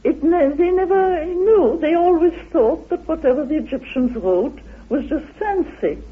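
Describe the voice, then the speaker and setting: A man giving a lecture-like explanation in an interview as a researcher, with deliberate, halting, declamatory phrasing. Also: extremely high pitch, animated. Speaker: interviewed researcher of ancient myths. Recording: tinny phone-like old radio recording.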